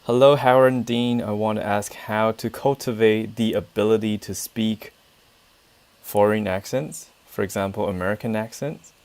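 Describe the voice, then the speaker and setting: A Taiwanese man with a Taiwanese accent, co-hosting a relaxed English-learning chat and answering viewer questions thoughtfully. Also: on the low side, normal speed. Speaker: co-host. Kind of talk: casual English teaching chat.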